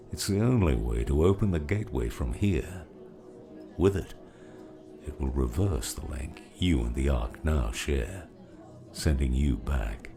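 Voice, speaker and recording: A grave old mentor, acted by a man in an audiobook drama, with an extremely low voice full of gravel. He speaks deliberately and knowingly, as if every sentence carries weight, over clear background music. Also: exaggerated voice. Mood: measured, wry.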